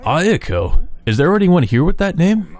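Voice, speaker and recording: A man's voice: a little high, very animated, unhurried, darkish tone, pitched above the performer's own voice. A man voicing a wary, folksy old village official in a visual novel. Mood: teasing, curious. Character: gruff, wary old male village official, joking but cautious.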